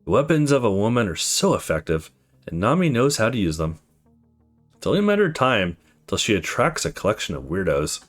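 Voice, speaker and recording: Low-voiced, animated man doing gaming commentary. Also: brisk, faint music behind. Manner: informal, sweary, reacts off the cuff. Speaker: let's play host reading a visual novel aloud. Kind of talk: casual gaming commentary mixed with reading dialogue aloud.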